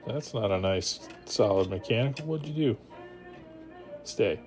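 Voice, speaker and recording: A man's voice: fairly low pitch, expressive, a little slow, darkish tone, soft background music. A man talking casually and playfully, with enthusiasm, as he hosts a hands-on radio restoration vlog. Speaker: host of a radio repair channel.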